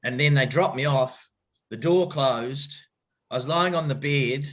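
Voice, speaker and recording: A man's voice: medium pitch, unhurried, a bit bright. A middle-aged man with a New Zealand accent, telling his story in a podcast interview, hesitant and full of fillers and restarts but open and reflective. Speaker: guest, cricket coach.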